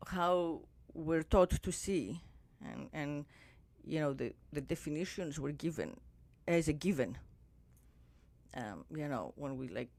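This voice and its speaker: A woman hosting a podcast who talks in a reflective, musing way, her pitch moving up and down with plenty of life. Her voice is dry and close to the mic. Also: lively intonation, unhurried, dry recording. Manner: reflective, drifting softer as she muses. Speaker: podcast host. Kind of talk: casual interview conversation.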